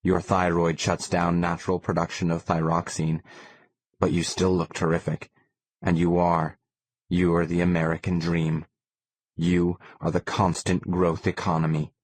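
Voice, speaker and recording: Guy reading an audiobook novel, really low voice, super dry sound. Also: completely flat delivery, measured pace, no room sound. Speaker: audiobook narrator. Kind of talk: scripted first-person novel narration.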